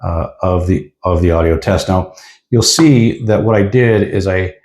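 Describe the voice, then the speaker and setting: A man's voice: low voice, a little slow, darkish tone. A man giving a casual hands-on product review in an informal, explanatory way.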